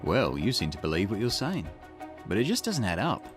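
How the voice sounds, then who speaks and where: A man acts out a wry game detective in a low voice that swings expressively. He is dry and skeptical, a plain talker who likes to question. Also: big pitch swings, fairly quick, music in the background. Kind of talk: character voice reading visual novel dialogue.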